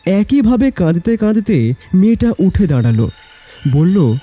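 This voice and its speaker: A man's voice: high voice, big pitch swings, a little slow, dark tone. A man narrating a scripted story in a dramatic storytelling style. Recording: background music.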